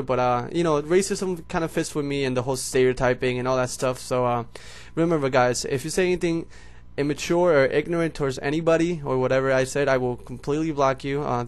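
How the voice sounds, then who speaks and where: A young man from Puerto Rico whose first language is Spanish, speaking with a Puerto Rican accent and a slight lisp, rambling casually to his viewers as homies. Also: a little high. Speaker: YouTuber. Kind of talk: casual vlog monologue.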